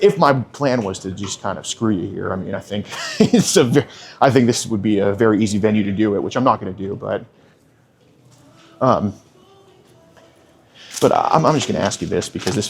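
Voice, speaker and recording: Halting man calmly questioning someone. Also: fairly low pitch, vocal fry. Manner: calm, halting, with many restarts. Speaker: sting confrontation host. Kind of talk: confrontational questioning. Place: indoors at a casino.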